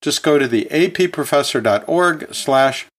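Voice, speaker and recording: A man's voice: fairly high pitch, animated, normal speed. A man hosting an educational podcast, talking like a chatty teacher in a conversational, folksy way with casual asides. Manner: chatty, chuckles often, self-correcting asides. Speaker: podcast host and anatomy and physiology teacher.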